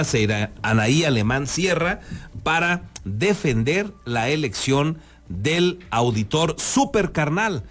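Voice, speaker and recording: A man with a Mexican accent hosting a news program, giving unscripted commentary in a halting, emphatic way. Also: a little high. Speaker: news host.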